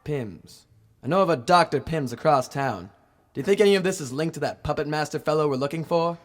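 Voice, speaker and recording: Private investigator, male actor: higher-pitched, earnest, breezy, clipped old-time radio serial patter. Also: lively intonation, a little fast, close to an everyday voice. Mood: earnest, alert.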